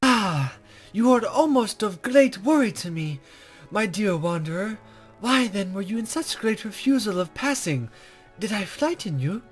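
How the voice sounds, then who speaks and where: A man's voice: high-pitched, expressive, measured pace, soft background music, pitched above the performer's own voice. A man voicing a coy, teasing woman rescuer in a visual novel, with playfully doting, formal, flowery speech. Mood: coy concern.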